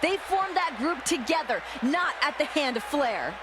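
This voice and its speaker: Female wrestling commentator, high voice, broadcast-style game commentary over clear arena noise. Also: big pitch swings, measured pace. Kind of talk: scripted sports commentary for a video game. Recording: arena noise and ball-like thuds behind. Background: busy wrestling arena ambience.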